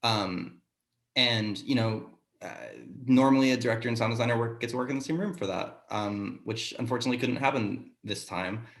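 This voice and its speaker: A man answering casually in a panel discussion as a sound designer, haltingly thinking aloud as he goes. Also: medium pitch. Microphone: video call. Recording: roomy echo on a video call.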